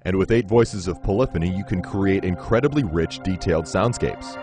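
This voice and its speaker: A low-pitched male narrator delivers scripted promotional copy with a polished, instructional delivery. Music plays prominently behind his voice. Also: a little fast. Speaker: product demo narrator. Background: synthesizer music.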